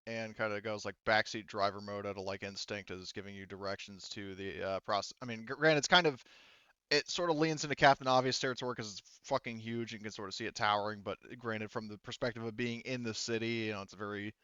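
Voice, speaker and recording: A man runs a casual tabletop roleplaying game with a clear, smooth voice. He talks in a rambling, profane way. The sound is dry, with very little room in it. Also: on the low side, fast, fairly bright tone, dry recording, highs cut off. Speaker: game master.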